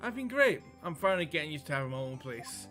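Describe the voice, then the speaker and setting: A playful young woman from a visual novel game, put on by a man, chirpy and teasing. The voice sits slightly high and bright. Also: big pitch swings, quick pace, soft background music. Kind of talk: visual novel read-aloud.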